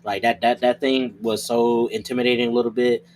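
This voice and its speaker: A man playing a tabletop roleplay game, bantering casually and often repeating his phrases haltingly. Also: mid-range voice, level tone, a little mellow, slight background noise.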